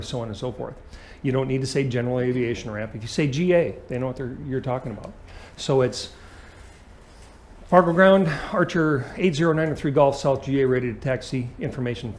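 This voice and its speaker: A man's voice: medium pitch, a little mellow. A man teaching an aviation lesson as a flight instructor, explaining things and checking understanding with short questions.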